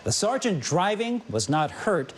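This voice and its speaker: A male news anchor reads scripted stories. His voice sits a bit higher than most men's. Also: sing-song, unhurried, slightly rough.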